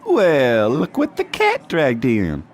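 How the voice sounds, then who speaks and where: Sly smug reindeer gangster, swoopy delivery, radio play, faint bar-like ambience behind. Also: male voice, medium pitch, huge pitch swings, takes it slowly, a little hiss or hum. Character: seedy male reindeer ex-con, smug old criminal accomplice. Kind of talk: comedic noir audio drama acting.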